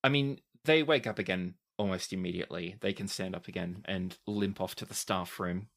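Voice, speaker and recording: A man running a tabletop game as game master, describing scenes in a deliberate, dramatic way. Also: deep voice, fairly bright tone.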